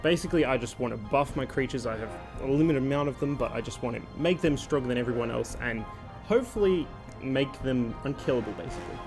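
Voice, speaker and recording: A man's voice: medium pitch, fast, over music. A man giving a casual explanation of his game strategy as a player on a gaming show.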